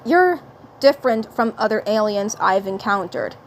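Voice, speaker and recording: A woman's voice: medium pitch, expressive, normal speed, slight background noise, natural voice. A woman voicing a curious, wry male alien commander who teases the other figure. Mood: teasing. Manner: teasing, wry.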